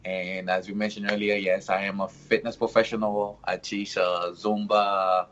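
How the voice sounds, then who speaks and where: A man's voice: lowish voice, level tone, moderate pace, a bit bright. A man from Haiti whose first language is Haitian Creole, a guest on a casual podcast, talking in a friendly, rambling way and laughing often. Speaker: guest, fitness instructor.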